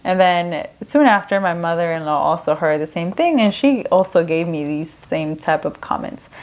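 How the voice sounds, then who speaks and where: A woman's voice: lowish voice, normal speed. A young woman from Ecuador hosting a podcast, talking in a candid, casual way. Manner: chatty, candid, with frequent fillers. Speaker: podcast host. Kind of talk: casual solo podcast talk.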